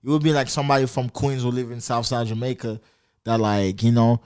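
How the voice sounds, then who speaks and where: A man's voice: medium pitch, little pitch movement, fairly quick, a little mellow, smooth, no room sound, studio quality. A man from France, a guest on a podcast, talking in a laid-back casual way with lots of slang fillers. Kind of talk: casual chat.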